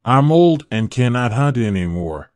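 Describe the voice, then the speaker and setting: A woman voicing an old, weak male lion king in a storybook, gruff and demanding. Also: rumbling low, big pitch swings, measured pace, darkish tone, no echo, pitched below the performer's own voice, exaggerated voice. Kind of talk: storybook reading.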